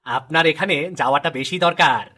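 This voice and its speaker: Guy doing a loyal palace minister for a cartoon, formal n respectful, sharp bright voice, bit high. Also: moderate pace. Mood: questioning, respectful. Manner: formal, dutiful. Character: loyal, deferential royal minister serving the king.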